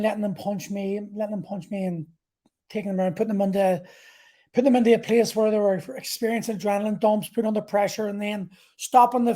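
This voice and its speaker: A man in his forties with a soft, mellow tone hosts a casual solo podcast. He talks like a coach sharing his own experiences, candid and loosely rambling, with salty language. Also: high-pitched. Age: 40s. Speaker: podcast host and martial arts coach. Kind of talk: casual solo talk about personal experience.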